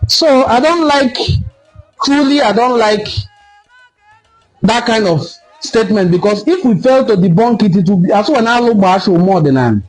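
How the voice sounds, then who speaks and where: A Nigerian man giving an impassioned solo commentary, lecturing forcefully and repeating points for emphasis. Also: sits high, animated, moderate pace, faint music behind, low-quality recording. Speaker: online cultural commentator.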